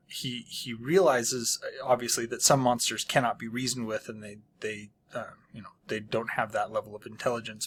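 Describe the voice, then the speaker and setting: A man hosting his own channel, casually reviewing a book with many pauses, ums and frequent throat clearing. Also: medium pitch, fairly bright tone. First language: not Polish.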